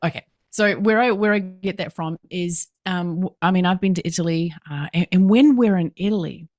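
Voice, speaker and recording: Brief: female NZ-accented business coach, lower register, energetic emphatic delivery, dry close recording. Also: normal speed, no echo. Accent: New Zealand. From New Zealand. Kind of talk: podcast interview.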